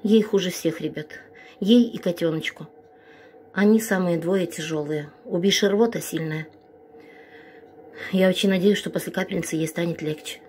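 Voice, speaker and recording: A woman's voice: on the low side, normal speed, pauses often, a little mellow, a little hiss or hum. A middle-aged woman rescuing animals, speaking earnestly to viewers and tenderly to sick puppies while ill with bronchitis, filmed on a phone indoors. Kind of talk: casual appeal to viewers. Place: veterinary clinic and home room, indoors.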